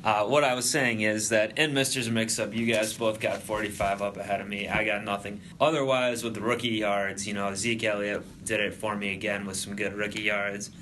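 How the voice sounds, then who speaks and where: A man's voice: lowish voice, level tone, moderate pace, hardly any pauses, fairly bright tone, a little hiss or hum. A young man hosting a casual sports podcast, normally laid back but trying to bring extra energy. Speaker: podcast host.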